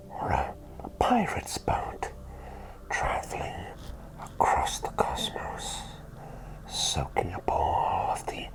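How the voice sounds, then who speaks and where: A man playing a gentle, doting plague doctor in an ASMR roleplay, whispering soothingly in a politely genteel, rambling way. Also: a bass voice, full character voice, poor audio. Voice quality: soft breathy whisper. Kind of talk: ASMR roleplay, personal attention.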